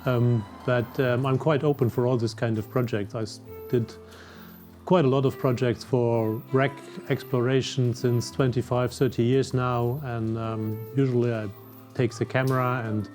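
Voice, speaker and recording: A man's voice: mid-range voice, steady tone, moderate pace, darkish tone, faint music behind. German diver in his sixties. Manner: hesitant, many fillers, slightly non-native grammar. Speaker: wreck diver and underwater photographer. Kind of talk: interview answers.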